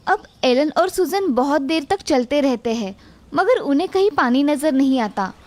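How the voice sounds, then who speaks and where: A woman narrating an animated children's story in a steady, clear storytelling manner. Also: high voice, normal speed.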